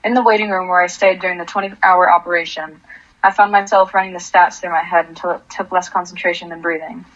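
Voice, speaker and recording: A woman's voice: fairly low pitch, steady tone, fast, fairly bright tone. Woman acting a bitter grieving mother, muffled sound. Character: bitter grieving mother, desperate, abandoned by her wife. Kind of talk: radio drama acting with first-person monologue.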